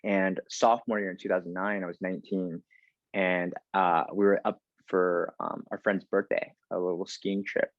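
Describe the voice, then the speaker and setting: A man's voice: low voice, level tone, moderate pace, dry recording, phone-quality sound. A man in his thirties from the United States, talking casually as a podcast guest with lots of fillers and restarts. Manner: chatty, many fillers and restarts. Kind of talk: casual interview conversation.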